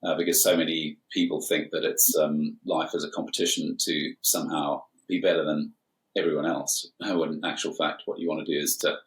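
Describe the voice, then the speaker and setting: A man's voice: a bass voice, flat delivery, brisk, poor audio. A man in his forties from Zimbabwe, an airline captain talking as a guest in a casual chat, with many hesitant fillers.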